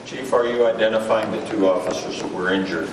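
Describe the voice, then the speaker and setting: A man's voice: lowish voice, fairly quick. A man speaking as a reporter, asking brief questions at a press conference. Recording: echoey room, crowd behind.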